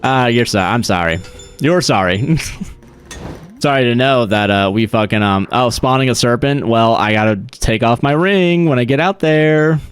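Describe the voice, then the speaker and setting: A man's voice: medium pitch, moderate pace, clear, resonant tone, faint music behind. A man streaming a game, chatting casually with his viewers, swearing freely and laughing often. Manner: profane, laughs often, chatty asides to chat. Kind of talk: casual live-stream chat while gaming.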